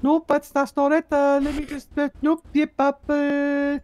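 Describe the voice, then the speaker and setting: A woman's voice: sits high, completely flat delivery, a little slow, a little mellow, no echo. A woman, brash and flirty, swearing freely and calling people pet names in an improvised comedy sketch.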